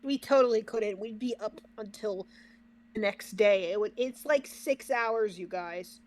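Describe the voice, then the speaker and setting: A woman's voice: medium pitch, a little slow. A woman co-hosting a reaction livestream, chatting casually with the viewers. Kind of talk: casual chat with stream viewers.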